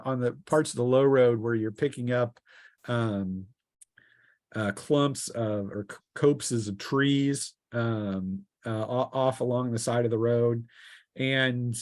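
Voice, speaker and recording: Male game master, medium pitch, improvised tabletop narration, halting delivery with frequent restarts. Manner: halting, restarting descriptions mid-sentence.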